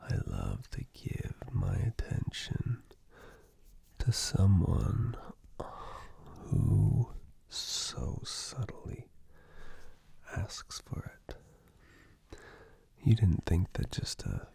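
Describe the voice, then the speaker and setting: A man doing slow, intimate ASMR, soothing the listener in a breathy, husky, mostly whispered voice with lingering pauses between short phrases. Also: low voice, huge pitch swings, dark tone, no echo. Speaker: ASMR performer giving personal attention. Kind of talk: slow ASMR roleplay, relaxation guidance.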